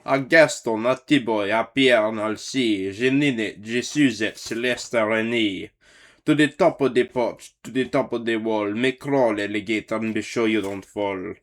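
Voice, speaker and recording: A man's voice: fairly low pitch, measured pace, natural voice. Jolly old Saint Nick, fat gator driver, hollering and commanding, storybook verse, played by a male reader. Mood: hollering commands.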